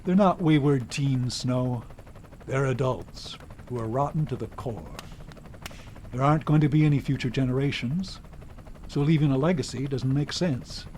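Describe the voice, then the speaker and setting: Man acting older runaway father, American, cynical, cagey, unsentimental, audio drama, mid-pitched, noisy ambience behind. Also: moderate pace, darkish tone, lightly acted. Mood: cold, resigned. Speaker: radio drama actor.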